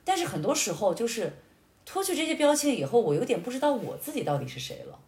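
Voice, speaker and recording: A woman's voice: a little high, big pitch swings, fast talker, darkish tone. A young woman chatting reflectively and articulately, laying out her thoughts in order as a podcast host. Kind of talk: reflective casual chat. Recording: echoey, roomy sound.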